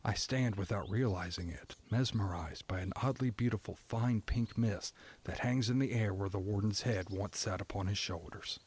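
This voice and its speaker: Man acting a somber, lowish-voiced condemned prisoner narrating. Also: a little mellow, breathy edge. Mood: stoic, detached. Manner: somber, deliberate storytelling. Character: condemned male prisoner narrating, stoic, resigned, poetic. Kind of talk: scripted first-person dramatic narration.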